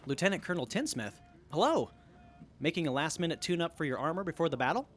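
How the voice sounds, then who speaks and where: Man acting fussy soldier, highish animated voice. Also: fast. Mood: polite, fussy. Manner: polite, precise, fussy. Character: polite, anxious, meticulous heavy infantry soldier. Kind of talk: audio drama acting.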